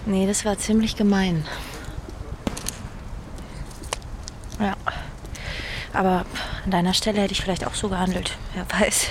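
A woman's voice: mid-range voice, flat delivery, normal speed, lightly acted. An actress playing a young woman, an old flame, hesitant and understated but teasing, in a naturalistic radio drama. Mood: rueful. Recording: clearly noisy ambience behind.

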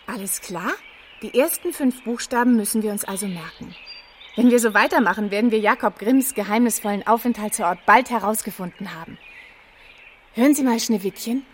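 Woman playing a brisk, high-voiced meddling helper. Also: slight background noise, lightly acted. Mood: urgent. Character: brisk, bossy, meddling female radio helper. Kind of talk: children's radio play acting.

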